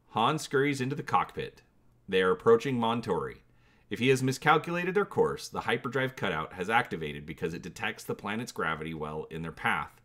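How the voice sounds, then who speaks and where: A man's voice: deep voice, a bit bright. A man hosting his channel, giving a dramatic reading of an adventure gamebook and inviting viewers to comment on choices.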